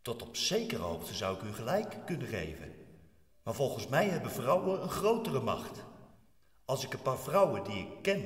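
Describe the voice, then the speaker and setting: A man's voice: medium pitch, lightly acted. An actor playing an uneasy accused man in a radio play, earnest, polite and stubbornly questioning. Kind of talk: Dutch radio drama acting. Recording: echoey church hall, distant from microphone.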